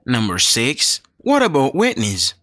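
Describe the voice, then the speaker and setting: A man reading scripted English dialogues and exercise questions for a learners' audio book, slow and clearly enunciated. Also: medium pitch, wildly swooping pitch, fairly bright tone, no echo, studio quality. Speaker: textbook audio reader.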